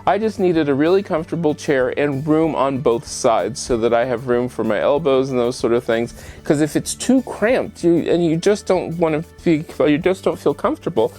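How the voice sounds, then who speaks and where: A man's voice: on the high side, normal speed, few pauses, a little mellow, faint music behind. A man hosting a casual how-to home vlog, chatting straight to the viewer and posing rhetorical questions. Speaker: home show host. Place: bedroom at home.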